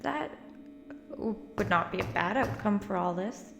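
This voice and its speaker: An actress playing an awkward young woman in an audio drama, halting as she talks to a friend. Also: mid-range voice, expressive, gravelly. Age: young adult. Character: awkward, guilty-feeling young woman trapped with her friend. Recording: echoey room with faint music.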